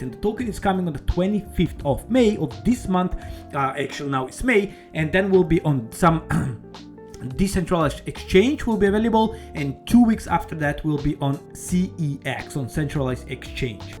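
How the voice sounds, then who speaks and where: A man hosting a casual crypto video, talking eagerly in a slightly raised voice. He rambles in non-native English phrasing and keeps dropping "okay" and "all right." Faint guitar music plays softly behind him. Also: a little high, lively intonation, moderate pace. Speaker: crypto YouTuber. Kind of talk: casual screen-share walkthrough.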